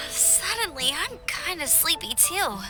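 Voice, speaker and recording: A bubbly teenage girl scout from an anime game. She is friendly and eager, with a high, lively voice and music playing behind her. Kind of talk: anime video game voice acting.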